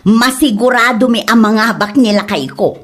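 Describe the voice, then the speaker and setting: A woman's voice: fairly high pitch, moderate pace, close to an everyday voice. A woman with an Ilocano accent playing a gossipy, blunt village woman in a comedy drama. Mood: bragging gossip. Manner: exclaiming, nagging, blunt.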